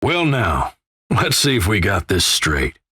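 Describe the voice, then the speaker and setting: A man playing a gruff, folksy, wry Old West narrator in a video game, with a gravelly American Western drawl and measured, ominous storytelling. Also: on the low side, huge pitch swings, bright, forceful tone, dry recording, clean studio sound, full character voice. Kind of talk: dramatic second-person scripted game narration.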